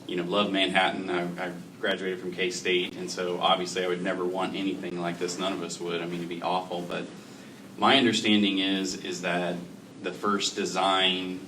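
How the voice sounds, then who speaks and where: A man on a community panel, talking loudly and conversationally, often hedging his points. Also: sits low. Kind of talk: panel discussion answer. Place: public library meeting room. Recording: far mic, noisy room.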